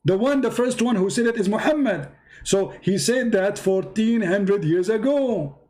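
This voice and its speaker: A man's voice: high voice, animated, moderate pace, a little mellow. A man whose first language is Arabic, hosting a heated debate, talking aggressively, interrupting and insulting his opponent. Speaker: debate host.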